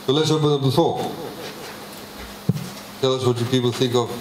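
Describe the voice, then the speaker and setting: A man, presenting a budget to a public meeting in a measured way, with many pauses and fillers. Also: medium pitch, fairly even intonation, noisy background. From Fiji. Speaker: government minister presenting a budget. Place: public consultation meeting hall. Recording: echoey hall, faint ambience.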